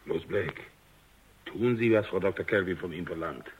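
An actor playing a brisk, commanding male superior in a radio drama, with curt delivery. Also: sits low, highs cut off. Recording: faintly noisy radio recording.

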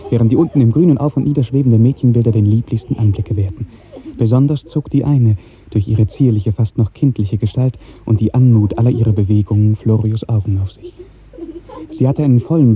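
A man narrating a literary radio drama in a measured, lyrical 1950s storytelling style. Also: mid-range voice. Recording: muffled old 1950s radio recording.

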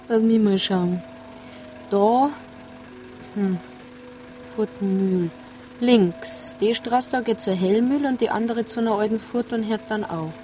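An actress playing a self-assured, easygoing police officer in a radio drama, with a relaxed, teasing manner. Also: female voice, medium pitch, takes it slowly, long pauses, faint music behind, lightly acted. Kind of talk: naturalistic radio drama acting.

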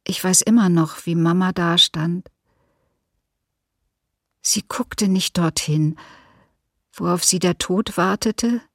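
A woman's voice: on the low side, slow pace, long pauses, dry recording, clean studio sound. An actress playing a female massacre survivor who recalls her youth, giving testimony with grave restraint in a radio documentary. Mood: grave, aching.

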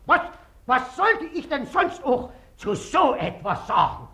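An actor playing an indignant, excitable elderly citizen in a 1950s radio play, stammering and repeating his words as he blusters. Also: extremely high pitch, slow pace, full character voice. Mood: indignant, agitated.

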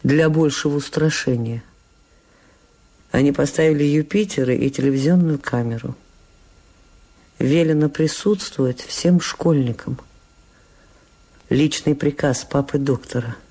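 An actress playing an anxious, resigned secret lover in a Soviet radio drama, speaking in an intimate, subdued, anguished way. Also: female voice, sits low, measured pace, pauses often, mellow. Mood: grim.

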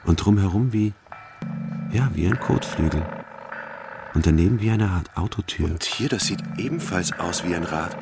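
A very deep, gravelly brooding sergeant. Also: male voice, very noisy. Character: German army sergeant narrating his jungle river patrol, brooding. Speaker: actor, narrator of the play. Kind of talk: literary audio drama narration and dialogue.

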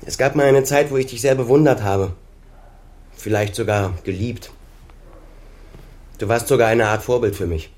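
An actor in a German radio crime drama playing a bitter, accusing son in his thirties, pointed and deliberate in his speech. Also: mid-range voice, a little mellow, natural voice. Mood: wistful, bitter. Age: 30s.

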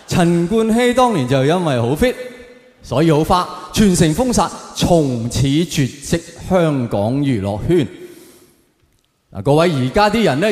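A man with a Hong Kong Cantonese accent performing stand-up, giving mock-serious opinions and putting rhetorical questions straight to his audience. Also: a little high. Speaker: stand-up comedian. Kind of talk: stand-up comedy routine to a live audience. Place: live venue, on stage before an audience. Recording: echoey hall with long reverb.

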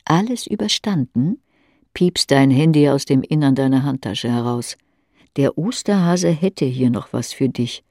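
A woman's voice: extremely low pitch, lively intonation, a little slow, a little mellow, no room sound, clean studio sound. A woman reading scripted literary narration in the second person for an audio drama.

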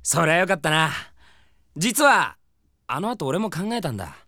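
Animated, bright-voiced rival fan. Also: male voice, fairly high pitch, moderate pace, no room sound, clean studio sound, heavily acted. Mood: earnest, reflective. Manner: animated, blustering masculine speech. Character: earnest, excitable young male rival fan, absent-minded. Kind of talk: Japanese comedy audio drama acting.

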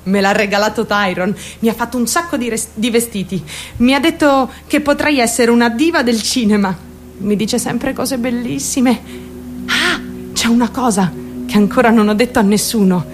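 A naive, wounded teenage girl played by a woman confides in her listeners in an intimate theatrical radio monologue. She is lovestruck, speaks straight to her audience and leaves dramatic pauses, with music clearly playing under her voice. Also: on the high side, natural voice. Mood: giddy, secretive.

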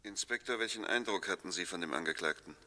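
An actor in a 1960s German radio play as an eloquent, argumentative defence advocate, speaking with precise, emphatic, scornful rhetoric. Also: male voice, low-pitched, fast talker, bright, forceful tone, no room sound. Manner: rhetorical, emphatic, pointed questions, precise diction.

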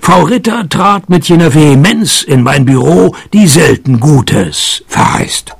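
An actor playing a wry, deadpan male private detective in a noir parody radio play. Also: a little high, unhurried, vocal fry, slightly rough, dry recording, heavily acted. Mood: dry narrating. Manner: dry, sardonic, deadpan. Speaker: lead actor and narrator. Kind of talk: noir parody radio play, first-person narration and dialogue.

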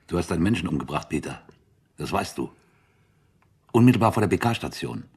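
An actor playing a harsh, impatient military captain in a radio drama, commanding and sardonic as an interrogator. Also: male voice, big pitch swings, normal speed, a little mellow, heavily acted. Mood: accusing, taunting. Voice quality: low, husky.

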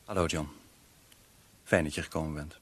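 A man's voice: rumbling low, fairly even intonation, normal speed, slightly rough. A male actor in a radio play playing a worried, tactful employer who speaks hesitantly and apologetically. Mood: concerned, tactful.

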